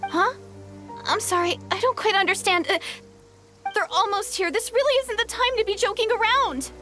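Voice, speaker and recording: A woman's voice: very high voice, normal speed, pushed and bright, faint music behind. A woman voicing a frightened young girl who stammers while fleeing monsters. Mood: panicked. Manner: panicked, stammering. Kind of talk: video game voice acting.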